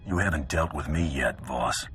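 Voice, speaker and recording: A man's voice: a bass voice, moderate pace, over music. A man playing a tough, wry bounty hunter in a video game, with dry, terse delivery. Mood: stern. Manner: dry, terse, hard-boiled. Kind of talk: video game cutscene acting.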